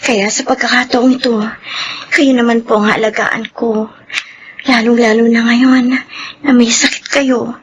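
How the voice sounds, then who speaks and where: A woman's voice: on the high side, level tone, unhurried, pauses often, slight background noise, lightly acted. A woman playing a poor, anguished young mother in an emotional radio drama. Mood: troubled. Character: poor, anguished young pregnant granddaughter, devoted, defiant mother.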